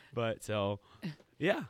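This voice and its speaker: A man's voice: lowish voice, clean tone, no echo. A middle-aged man co-hosting a podcast, telling stories reflectively with lots of fillers and restarts. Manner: reflective, animated storytelling with many fillers. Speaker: podcast co-host. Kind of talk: casual podcast conversation.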